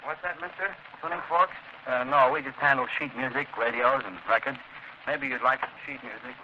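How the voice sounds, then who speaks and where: A man's voice: mid-range voice, steady tone, fairly quick, lightly acted. An actor in a 1930s radio drama playing a plain-spoken music shop salesman. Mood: offhand. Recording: muffled, noisy 1930s radio recording.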